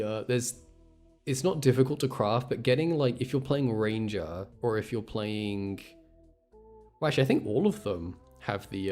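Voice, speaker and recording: A man's voice: lowish voice, a little mellow. A young man doing a casual gaming livestream, rambling and thinking aloud as he goes. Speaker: game streamer. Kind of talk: casual gaming stream chat.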